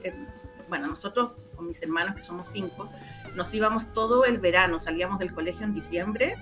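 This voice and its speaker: A woman's voice: mid-range voice, a bit bright. Middle-aged Chilean woman over music. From O'Higgins region, Chile. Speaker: interview guest. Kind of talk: casual reminiscing in an online interview.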